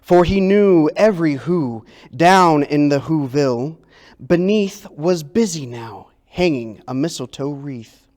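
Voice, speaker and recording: A man with a fairly high voice reads a storybook aloud at a very slow pace. His delivery is expressive and theatrical, with words drawn out for effect. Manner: dramatic, stretches and repeats words for effect. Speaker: youth ministry director reading a children's story.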